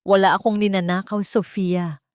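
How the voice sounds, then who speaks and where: A woman narrator voicing a calm, resolute wronged housemaid in an audiobook drama, firm and defiant. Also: mid-range voice, normal speed, no room sound, studio quality, lightly acted. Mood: calm defiance.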